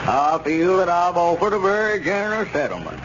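A man's voice: sits high, very animated, fairly quick. An actor playing a pompous, scheming older squire who whines about his suffering, in 1930s radio comedy style. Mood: smug. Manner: self-important, whining about his suffering. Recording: dull scratchy 1930s radio broadcast.

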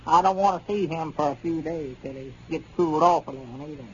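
An actor playing a timid, touchy, easily flustered rural Southern storekeeper in a folksy 1930s radio comedy style. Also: male voice, fairly high pitch, quick pace, fairly bright tone, lightly acted. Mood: sulky. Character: excitable, timid, touchy country storekeeper, a man. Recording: dull, noisy 1930s radio recording.

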